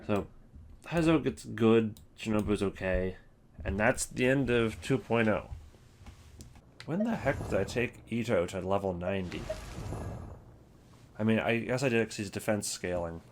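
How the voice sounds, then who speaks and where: A man with a low voice talks casually through a game ranking as he goes. His commentary is wry and opinionated, with faint noise and keyboard clicks behind it. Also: expressive, a little slow, pauses often. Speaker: host of a game ranking video.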